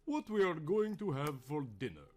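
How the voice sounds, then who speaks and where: Man as a pompous, high-strung lieutenant, high-pitched. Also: sing-song, normal speed. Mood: fretful. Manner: indignant, overwrought, formal. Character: pompous, easily panicked male soldier, a lieutenant.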